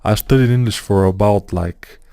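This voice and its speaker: A man with a Bulgarian accent talks through his modeling work at a computer, thinking aloud in a slow, unhurried way with plenty of "uh"s. His voice is low and mellow. The sound is dry and close, like a desk mic at a computer. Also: smooth, dry recording. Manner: thinking aloud, hesitant with fillers, non-native phrasing. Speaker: 3D modeling tutor. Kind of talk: screen-recorded software tutorial.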